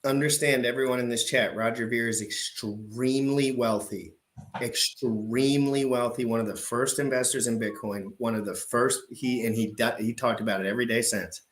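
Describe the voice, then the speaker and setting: A man hosting a livestream, pausing a clip to explain the background to his chat in a loose, off-the-cuff way. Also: mid-range voice, fairly even intonation. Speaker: show host reacting to an old debate clip. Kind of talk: casual commentary to a live chat.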